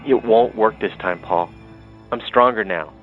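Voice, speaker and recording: An actor playing a brash, defiant younger brother in an audio soap opera, cocky and needling. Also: male voice, lowish voice, unhurried, soft background music. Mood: defiant, taunting. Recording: dull, phone-like narrow sound.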